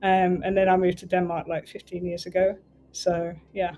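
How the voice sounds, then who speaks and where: A woman's voice: lowish voice, completely flat delivery, normal speed, mellow. A woman from the UK with an English accent, hosting a casual livestream as a tarot reader, chatting in a meandering way and breaking off to fix her stream. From United Kingdom.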